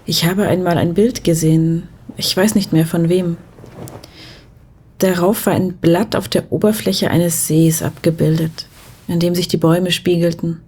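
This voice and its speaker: A woman acting a tender, thoughtful character in an intimate audio spy drama, speaking softly and gently probing. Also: fairly low pitch, fairly even intonation, unhurried, breathy edge. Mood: pensive.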